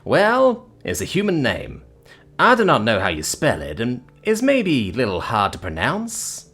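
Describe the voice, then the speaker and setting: Man playing a young father, husky and mock-polite. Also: medium pitch, wildly swooping pitch, unhurried, a bit bright, faint music behind, exaggerated voice. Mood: mock-deferential. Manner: hesitant, mock-deferential, oddly worded speech. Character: Levi, a young father, polite but slyly mocking.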